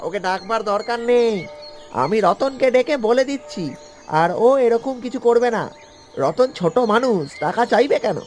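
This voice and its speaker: A man's voice: extremely high pitch, moderate pace, faint music behind. A man voicing a village husband and father in a cartoon, exasperated yet placating and full of questions. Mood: incredulous. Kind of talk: animated cartoon voice acting.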